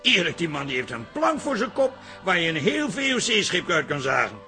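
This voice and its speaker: A fed-up middle-aged husband in a radio comedy drama. His voice is high and forceful, with very lively swings of pitch. He complains with sarcasm. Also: normal speed, soft background music, heavily acted. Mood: weary complaining. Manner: exasperated, sarcastic. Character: exasperated, loud husband and pub keeper who loves football.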